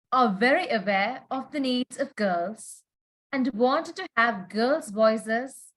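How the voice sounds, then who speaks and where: A woman moderating a panel, reading scripted introductions in a formal, measured way. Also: on the high side, a bit bright, poor audio.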